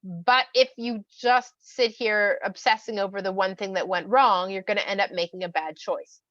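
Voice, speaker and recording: A woman's voice: mid-range voice, fairly even intonation, moderate pace, fairly bright tone, dry recording, dull audio, pitched below the performer's own voice, lightly acted. A woman voicing God as a patient, kindly figure who turns firm when scolding. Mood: gentle, encouraging. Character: God, a patient, kindly, then stern parent.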